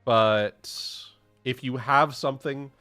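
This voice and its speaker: A man's voice: mid-range voice, fairly even intonation, slow, sharp tone, faint music behind. A man playing a tabletop game, chatting casually, repeating short phrases and muttering sums aloud.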